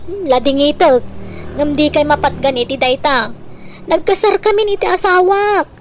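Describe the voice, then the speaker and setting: A woman's voice: high voice, moderate pace, a little hiss or hum, lightly acted. A woman playing a young newlywed wife caught between mother and husband in comedic radio drama, apologetic, with an Ilocano accent. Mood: protesting.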